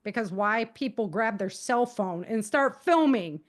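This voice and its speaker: Higher-voiced woman, casual interview guest. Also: expressive, a little slow. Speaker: guest author giving safety advice. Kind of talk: casual, conversational podcast interview.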